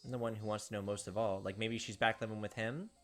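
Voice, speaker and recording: A man's voice: lowish voice, fairly even intonation, fast talker, faint music behind. A man hosting a let's play, chatting casually and reading the game's story text aloud.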